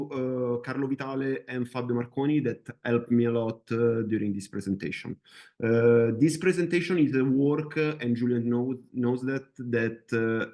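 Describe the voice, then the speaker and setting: Halting Italian-accented man presenting. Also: mid-range voice, mellow. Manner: hesitant, halting non-native English. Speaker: guest presenter, MRI radiographer. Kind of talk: webinar presentation.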